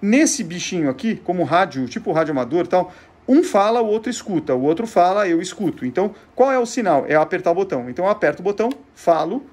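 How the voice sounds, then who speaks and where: High-voiced, husky Brazilian man explaining things. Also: animated, quick pace, a little mellow. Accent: Brazilian Portuguese. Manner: didactic, asks himself questions then answers them. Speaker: presenter of a show on vintage gadgets. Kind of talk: informal explanatory demonstration.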